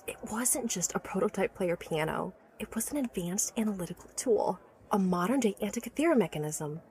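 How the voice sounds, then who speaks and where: A woman's voice: mid-range voice, animated, brisk, a little mellow, slight background noise, close to an everyday voice. A woman playing an obsessive, learned inventor who recounts her life's work earnestly and articulately in an audio drama. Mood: nostalgic, enraptured. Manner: articulate, earnest, stammers when awed by her subject.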